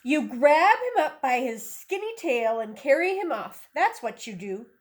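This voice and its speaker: High-pitched woman reading a children's storybook. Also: sing-song. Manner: instructive, questioning, slow deliberate phrasing. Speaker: teacher reading a picture book to young children. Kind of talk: animated children's storybook read-aloud.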